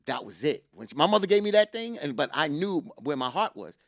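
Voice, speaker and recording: Middle-aged guy telling a story, animated, repeats himself for emphasis, dry close sound. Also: fairly high pitch, brisk, no room sound. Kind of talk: casual chat, personal storytelling.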